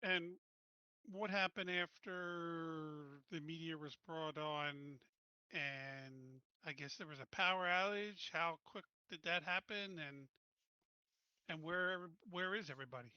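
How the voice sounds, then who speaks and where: A man playing a tabletop roleplaying game, asking question after question in a casual way. His voice is very bright and somewhat high. The sound is dry and muffled. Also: takes it slowly, clear, resonant tone, no echo. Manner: inquisitive, asks follow-up questions. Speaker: player. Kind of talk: casual in-character tabletop play.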